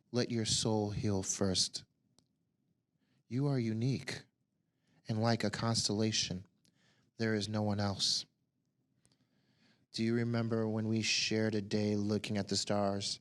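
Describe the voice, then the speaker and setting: A man reciting spoken word poetry in a measured, solemn way. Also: fairly low pitch. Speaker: poet.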